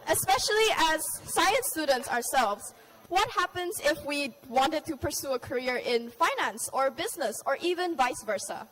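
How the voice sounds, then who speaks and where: A teenage girl co-hosting a school talk show, soft-spoken and a little hesitant when she asks questions. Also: high-pitched, animated, normal speed. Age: teens. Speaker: student host. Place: large school hall. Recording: echoey school hall.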